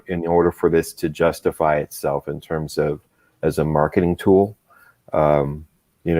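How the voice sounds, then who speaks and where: A man with a very low voice talks as a guest in a relaxed conversation. He chooses his words carefully and often repeats small words while finding his phrasing. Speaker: guest, comics creator. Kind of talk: casual livestream chat.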